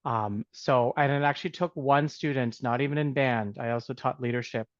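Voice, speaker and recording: A man's voice: medium pitch, normal speed, no room sound. Reflective man on a dull video call. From Canada. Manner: reflective, many fillers and restarts. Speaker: music educator guest. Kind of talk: casual storytelling on a video call.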